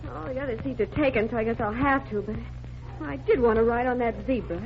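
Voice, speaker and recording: A woman's voice: a little high, fast, lightly acted. A woman playing a perky, girlish detective's assistant, playful and exclamatory, in 1940s radio drama style. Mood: indignant. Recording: dull vintage radio recording, music behind.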